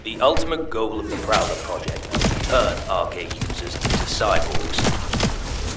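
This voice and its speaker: An actor playing a cold, gloating villain scientist in a video game, speaking in a measured, menacing, condescending way. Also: male voice, low voice, distant mic, lightly acted. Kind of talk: dramatic video game voice acting. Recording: roomy echo, loud music and gunfire.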